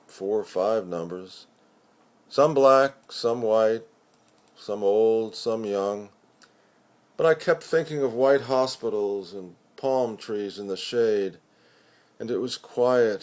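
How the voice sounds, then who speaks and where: A man giving an expressive poetry recitation with measured, deliberate phrasing. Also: mid-range voice. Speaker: poetry reader.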